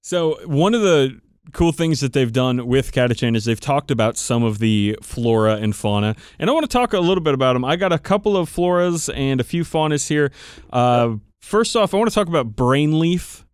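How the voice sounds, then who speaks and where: A man's voice: mid-range voice, expressive, moderate pace, clean tone, no echo, clean studio sound. A man co-hosting a podcast, chatting casually and enthusiastically about tabletop game lore with plenty of swearing. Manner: profane, enthusiastic, informal. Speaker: podcast co-host.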